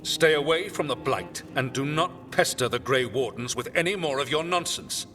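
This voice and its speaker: A man's voice: fairly high pitch, moderate pace, sharp tone. A man playing a stern, disdainful commander in a fantasy game, speaking with formal authority. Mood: indignant. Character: stern, imperious male military commander. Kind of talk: cinematic game dialogue. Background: music.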